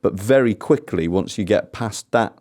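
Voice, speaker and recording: A man with a fairly low voice, on as a football podcast guest. He analyses in a measured way and drops in dry jokes. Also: a little mellow. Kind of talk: casual podcast chat.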